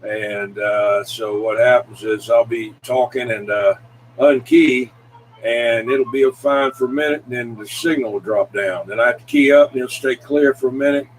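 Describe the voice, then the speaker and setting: A man's voice: mid-range voice, moderate pace, rough recording. A man chatting casually as host of a CB radio show, folksy and full of radio lingo. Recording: background noise.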